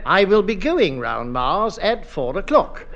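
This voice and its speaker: A man's voice: high voice, big pitch swings, unhurried, gravelly, a bit bright, muffled recording, exaggerated voice. A man playing a bumbling, evasive government clerk in a British radio comedy, English-accented, flustered and politely hesitant. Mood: reading aloud. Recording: noisy old radio comedy recording.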